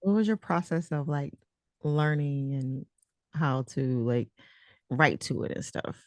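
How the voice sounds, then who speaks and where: A woman with a low, creaky voice hosts a casual interview. She talks in slangy, informal language with a playful, teasing manner. The sound is close and dry. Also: a little slow, a little mellow, no echo. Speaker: host and interviewer.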